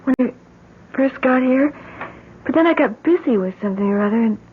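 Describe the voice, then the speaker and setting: A woman's voice: sits high, lively intonation, a little hiss or hum. An actress playing a fragile, absent-minded young woman in a hesitant way, in an old-time radio drama. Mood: timid, eager. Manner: hesitant, halting. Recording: muffled tinny old radio recording.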